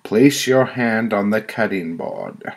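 A merciless woman judge from a dark story, played by a male narrator, hands down her sentences in a commanding manner. The voice is pushed up in pitch and keeps a gravelly edge. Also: lively intonation, slow, full character voice. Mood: stern. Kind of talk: storytelling character voice.

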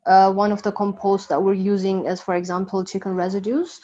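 A woman's voice: mid-range voice, fairly even intonation, a little fast, mellow, muffled recording. A young woman with an Ethiopian accent explaining her work as a guest speaker on a video call. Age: young adult. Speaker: guest speaker, architect and co-founder. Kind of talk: explanatory panel talk. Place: plain room indoors, video call. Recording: clear noise, clicks, video call.

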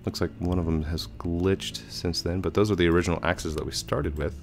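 A man in his thirties casually narrating a video game as he plays, chatty and rambling, a little hoarse and congested from a cold, on a headset mic at home. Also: low voice, fairly even intonation, fairly quick, faint music behind. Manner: chatty, rambling, with frequent fillers. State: slightly hoarse with a head cold. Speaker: gaming channel host. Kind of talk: casual let's play commentary.

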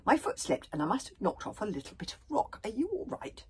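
A woman's voice: mid-range voice, huge pitch swings, brisk, a little hiss or hum, voice pushed up. A woman voicing an earnest, polite, eager male Viking bodyguard who is heroic and overly helpful. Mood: apologetic.

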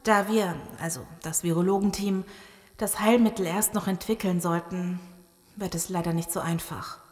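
An actress playing an earnest, serious virologist surviving a zombie apocalypse in an audio drama. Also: female voice, on the low side, steady tone, moderate pace, a little mellow, close to an everyday voice. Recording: long cavernous reverb.

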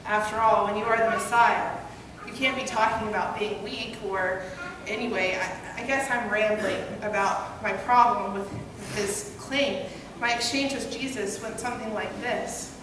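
A woman's voice: mid-range voice, fairly even intonation, moderate pace. A young woman pastor at a church pulpit, preaching a first-person story sermon in an earnest, conversational storytelling manner. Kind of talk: first-person dramatic monologue sermon as Peter. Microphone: gooseneck pulpit mic, far camera. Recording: echoey hall, distant and noisy.

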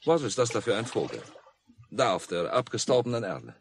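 An actor playing a polite, admiring English-speaking visitor in a 1950s German radio play, making brief remarks sprinkled with English. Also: male voice, on the low side, very animated, fairly quick, creaky. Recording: noisy mono 1950s radio recording.

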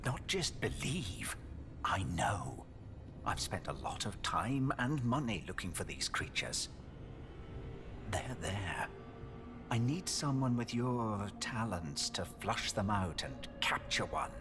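An older male tycoon in a video game cutscene. His low voice moves slowly and deliberately, with lively swings in pitch. He is formal, shadowy and coolly persuasive, with music underneath. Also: full character voice. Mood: insistent. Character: shadowy wealthy older businessman hiring a hunter, coolly persuasive.

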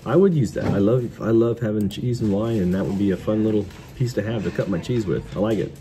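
A man's voice: on the low side, fast. A middle-aged American man hosting as an auctioneer, giving casual commentary on the lots as he sells them. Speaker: auctioneer and vlog host. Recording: clear background noise.

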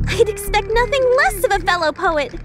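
A woman's voice: extremely high pitch, animated, moderate pace, music in the background. A woman playing a prim, serious teenage girl from a school discipline committee in an anime fandub. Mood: calm, earnest. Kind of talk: anime fandub radio play acting.

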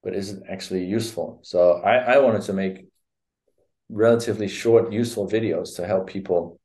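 Middle-aged man, Dutch accent, video-call guest; low, mellow, halting delivery. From Netherlands. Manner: hesitant, many fillers and restarts. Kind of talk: casual interview. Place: home room.

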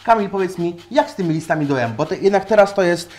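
Man, cooking host, high voice, chatty step-by-step talk, lapel mic in a kitchen. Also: expressive, fast talker, darkish tone. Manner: chatty, explains each step while cooking, many fillers. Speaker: cooking show host, chef. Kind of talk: casual cooking chat on a live stream. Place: small home kitchen studio indoors.

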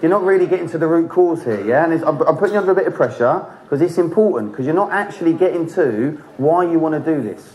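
A man speaking as a seminar trainer on stage in a large conference hall, pushing an audience member with quick, probing questions and encouragement. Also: a little high, animated, darkish tone. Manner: fast, pushy, encouraging questioning.

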